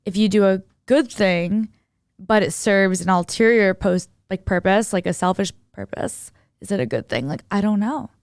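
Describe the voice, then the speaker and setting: Smooth-voiced young woman podcast co-host, close and dry. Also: medium pitch, moderate pace, no echo. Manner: slangy, conversational, frequent "like". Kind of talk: casual opinionated podcast chat.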